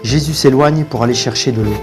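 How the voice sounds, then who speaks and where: A man delivers formal scripted narration for a cartoon story. His voice sits at a middle pitch, with light music playing behind him. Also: fairly quick.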